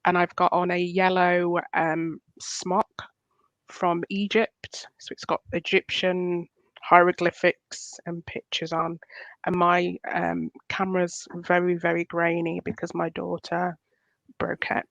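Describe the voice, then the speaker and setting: A Yorkshire woman talks over a headset on a video call with a flat, even delivery. The sound is dull and very dry, with no room around it. She chats in a relaxed, enthusiastic way. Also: fairly low pitch, slow, gravelly, no room sound. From Yorkshire, England. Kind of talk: casual video call chat. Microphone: headset microphone.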